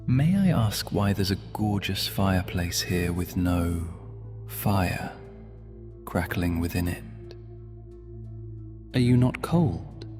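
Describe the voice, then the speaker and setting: A man voices a smooth-talking demon dealmaker, deep and unhurried, with sly, whispery asides. A long hall echo and soft music fill the space around him. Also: animated. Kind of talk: ASMR audio drama.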